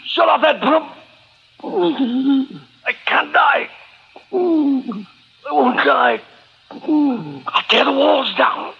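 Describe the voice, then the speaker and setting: A trapped victim suffocating in a vintage radio horror play. The voice is very high, piercing and gravelly, dragging out each desperate word. It comes through a muffled, hissy old broadcast. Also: male voice, expressive, very slow, long pauses, full character voice. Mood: panicked, screaming. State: gasping, screaming, suffocating. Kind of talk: 1930s-40s radio horror acting.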